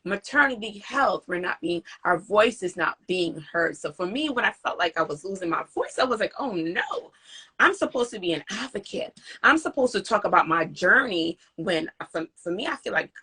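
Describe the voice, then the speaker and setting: A woman's voice: mid-range voice, normal speed, husky. A woman hosting a casual live-stream interview, talking earnestly and with feeling, acting out what others said to her. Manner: earnest, animated storytelling with emphatic quoted speech. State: recovering from voice loss. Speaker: interview host and parent advocate. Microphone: video call.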